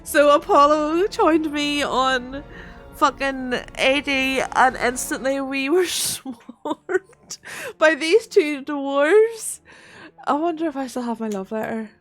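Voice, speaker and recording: A woman's voice: sits high, slow pace, faint music behind. A woman with a rural Northern Irish accent chatting on a gaming livestream, swearing freely and laughing often as she reads chat. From Northern Ireland. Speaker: streamer.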